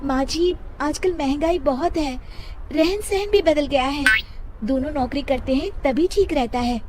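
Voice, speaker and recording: A woman voicing a polite young working daughter-in-law who pleads and protests gently in an acted moral story. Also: high voice, unhurried, heavy background noise. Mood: pleading.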